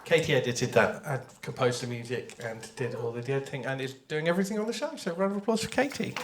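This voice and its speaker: A man, a writer on a live panel, explaining casually in an animated, self-correcting way. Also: mid-range voice, quick pace, very noisy.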